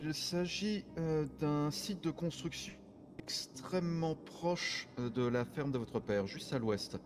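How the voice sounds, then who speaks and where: A man playing a courteous private detective in online roleplay, explaining things formally and deliberately, with halting pauses. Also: fairly high pitch. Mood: methodical. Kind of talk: improvised French online roleplay. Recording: background music under the voice.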